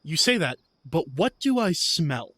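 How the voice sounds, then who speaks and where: Male role-play gamer, pitch a bit high, casual conversational delivery. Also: sing-song, measured pace, fairly bright tone, dry recording, clean studio sound. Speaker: player.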